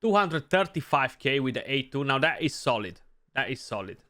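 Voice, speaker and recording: A man's voice: medium pitch, big pitch swings, moderate pace, bright, forceful tone. A young man with a Romanian accent giving casual gameplay commentary, thinking aloud and often adding "you know". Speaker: gaming YouTuber.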